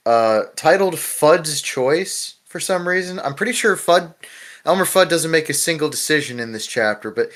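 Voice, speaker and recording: A man's voice: on the high side, animated, moderate pace, a bit bright. A man hosting a casual discussion podcast, talking in an informal, rambling way with plenty of swearing. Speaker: podcast host.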